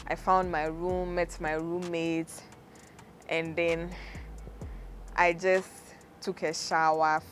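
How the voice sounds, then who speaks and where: Young Ghanaian woman, podcast host, lowish voice, casual storytelling, laughs often, faint music behind.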